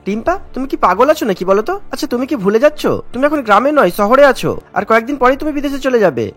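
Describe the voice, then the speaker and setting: Man voicing a rapid-fire, scheming young cartoon trickster. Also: very high voice, lively intonation, a bit bright, soft background music, heavily acted. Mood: scolding. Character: scheming young male trickster, smooth-talking and greedy. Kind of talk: Bengali cartoon dialogue.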